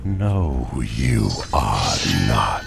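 An actor playing an ominous, solemn demonic cult minion in a video game, gravelly and menacing. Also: male voice, rumbling low, big pitch swings, very slow, over loud music, heavily acted. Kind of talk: video game cutscene acting. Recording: clear background noise and ambience.

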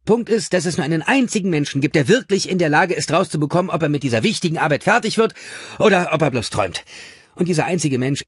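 A man voicing an excitable, high-pitched eccentric boss. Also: quick pace, breathy edge, no room sound, studio quality. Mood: insistent. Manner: rambling, self-interrupting, bossy. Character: excitable eccentric male boss rambling into an answering machine.

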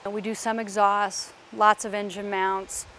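A woman's voice: mid-range voice, level tone, a little slow, pushed and bright, slight background noise. A woman guiding a walking tour of an aircraft workshop, explaining parts as she points them out. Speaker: factory tour guide. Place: large aircraft workshop indoors.

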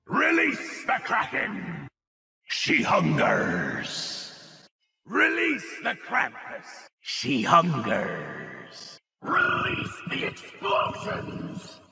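Ominous old sea captain, game villain. Very slow, theatrical, echoing. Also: male voice, high voice, wildly swooping pitch, gravelly, noisy background, heavily acted. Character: sinister old sea-captain summoning monsters. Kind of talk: video game battle cries.

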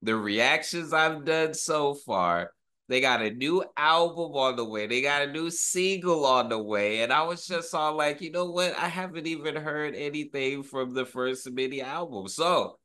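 A man's voice: on the high side, animated, a little slow, bright, forceful tone, smooth. A man in his thirties giving casual, slangy reaction commentary, enthusiastic and full of awe, as a video host. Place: small home room.

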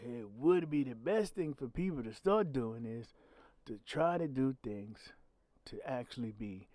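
A man with a growly, mid-pitched voice delivers solo commentary on his own channel. He talks bluntly and emphatically, swearing freely as he makes his point. The sound is dry and close, with no room echo. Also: lively intonation, a little slow, dark tone. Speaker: channel host.

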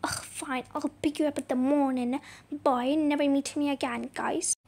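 A woman's voice: high-pitched, fairly even intonation, moderate pace, darkish tone. A young woman voicing a snooty, spiteful younger sister in an amateur roleplay. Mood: huffy. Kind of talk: amateur voiced toy-app roleplay.

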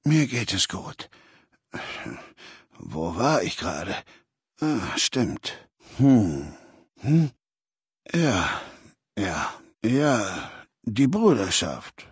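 An actor playing a commanding, arrogant warlord in a video game, speaking in a measured, authoritative and condescending way. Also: male voice, fairly low pitch, sing-song, husky, dry recording, clean studio sound, exaggerated voice. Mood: weary, distracted. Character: commanding, arrogant male warlord, cold and imperious, ailing. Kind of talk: acted video game dialogue.

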